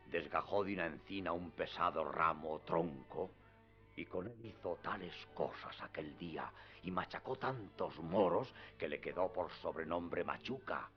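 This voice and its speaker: A man acting a very deep-voiced, proud knight-errant. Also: lightly acted. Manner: declamatory, lofty, archaic speech. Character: proud, deluded old Spanish knight-errant, grandiose and solemn. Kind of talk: radio theatre acting. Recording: dull lo-fi radio drama with music.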